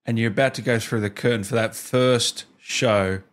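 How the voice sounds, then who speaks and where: A man's voice: medium pitch, steady tone, normal speed. A man in his thirties hosting a casual interview, asking questions in a chatty way from a small studio. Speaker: interview host. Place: small indoor home studio. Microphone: desk microphone with headset.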